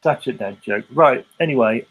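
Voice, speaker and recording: A man's voice: medium pitch, normal speed, fairly bright tone, breathy edge, rough recording. A man in easygoing, chuckling banter during a casual chat. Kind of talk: casual chat over a call.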